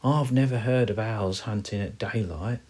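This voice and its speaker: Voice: mid-range voice, normal speed, a little mellow, voice pushed up, close to an everyday voice. A man voicing a sensible, bold little hamster in a children's story, with a matter-of-fact manner.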